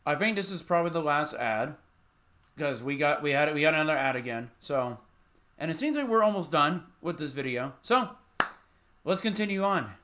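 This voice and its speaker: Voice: mid-range voice, animated, normal speed, a bit bright, a little hiss or hum. A video host giving casual, rambling reaction commentary, often repeating "like".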